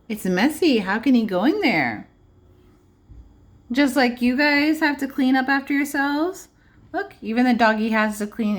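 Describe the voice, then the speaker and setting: A woman preschool teacher reading aloud to young children, adding simple explanations and checking in with questions. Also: fairly high pitch. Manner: simple child-directed phrasing.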